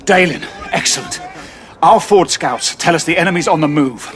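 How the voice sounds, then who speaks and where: An actor playing a brusque military commander who gives clipped orders in an audio drama. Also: male voice, on the high side, expressive, moderate pace, a bit bright, soft background music. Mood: commanding. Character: hard-pressed military commander, brusque and stern. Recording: battle and vehicle noise behind.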